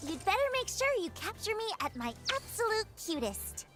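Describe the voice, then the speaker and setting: A woman's voice: extremely high pitch, big pitch swings, brisk, a bit bright, faint music behind, full character voice. A woman playing a cutesy, bubbly young pop idol in an anime video game, with a sweet, theatrical and teasing manner. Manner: sweet, theatrical, refers to herself by name.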